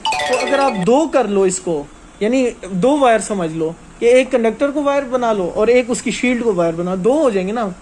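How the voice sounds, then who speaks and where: A man's voice: very high voice, expressive, normal speed, darkish tone, soft background music. A man giving an informal hands-on technical lesson as a trainer, explaining step by step and often checking that listeners follow.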